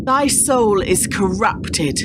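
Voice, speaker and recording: A woman playing a wounded, reproachful medieval wife in a radio drama, speaking archaic English in a measured, declamatory way. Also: mid-range voice, animated, very noisy. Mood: bitter, disillusioned. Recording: radio play with loud music behind.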